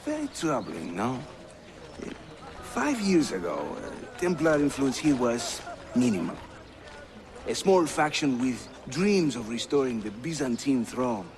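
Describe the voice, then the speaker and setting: An actor playing a jovial, witty Turkish assassin leader who guides newcomers, with a Turkish accent and a teasing, theatrical delivery in a video game. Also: male voice, medium pitch, big pitch swings, a little slow, crowd noise. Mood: grave, wry. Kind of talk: video game character acting. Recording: loud music behind the voice.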